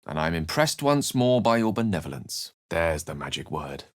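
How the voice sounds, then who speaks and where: A man playing an erudite, theatrical wizard in a video game, speaking and narrating in eloquent, precisely measured phrases. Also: low voice, very animated, dry recording, studio quality. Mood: courteous. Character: erudite, urbane male wizard with a theatrical streak.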